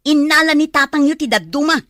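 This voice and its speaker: A woman's voice: high-pitched, quick pace, fairly bright tone, dry recording, studio quality. A woman with an Ilocano accent playing a nagging, defensive, hard-pressed mother in a radio drama, combative and scolding.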